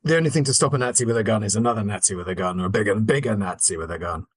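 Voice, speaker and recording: A man's voice: lowish voice, very fast, breathy edge, no room sound. A man chatting casually as a podcast co-host, with a dry, slightly hesitant manner. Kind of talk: casual chat.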